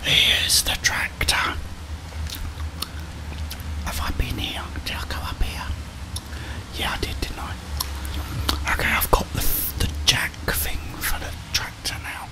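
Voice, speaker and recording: Whispering British man, slow and hushed. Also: poor audio. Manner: murmured reactions in short phrases. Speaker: gaming streamer. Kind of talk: whispered ASMR game commentary.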